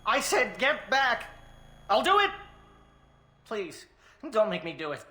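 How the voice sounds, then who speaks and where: An actor playing a frightened, shaky young civilian man in a video game, pleading in a halting manner. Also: high-pitched, huge pitch swings, sharp tone, echoey room, heavily acted. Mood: panicked, pleading.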